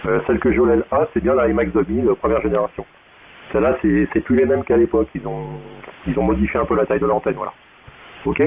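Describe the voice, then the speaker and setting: Guy on ham radio, creaky voice, casually explaining tech stuff, tinny sound w/ a bit of hiss. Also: medium pitch, steady tone, fast talker. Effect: two-way radio transmission. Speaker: amateur radio operator. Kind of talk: casual technical radio chat. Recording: thin phone-like radio sound, faint hiss.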